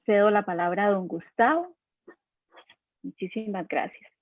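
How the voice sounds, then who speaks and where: A woman's voice: mid-range voice, animated, measured pace. A woman moderates a webinar and formally introduces the next speaker, with frequent fillers. Her audio is tinny and phone-like. The sound is dry, with no room echo.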